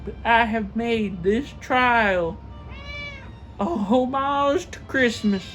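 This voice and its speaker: A woman voicing a warm-hearted young man in a storybook reading, earnest and imploring. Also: fairly high pitch, extremely slow, soft background music. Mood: sorry, earnest.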